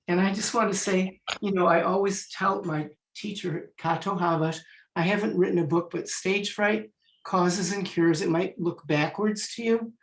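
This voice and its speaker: A man in his sixties, a Feldenkrais teacher and musician, chatting in an online panel, telling stories and clearing his throat now and then. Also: high voice, fairly even intonation, normal speed, roomy sound, poor audio. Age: 60s. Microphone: video call.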